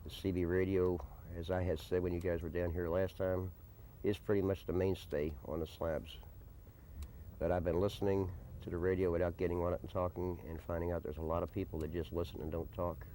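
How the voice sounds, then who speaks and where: Low, mellow-voiced American man, plain-spoken. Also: level tone, brisk. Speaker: interviewee, desert camp resident. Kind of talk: unscripted documentary interview answers. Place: informal desert camp on location. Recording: noisy early 1990s field video recording.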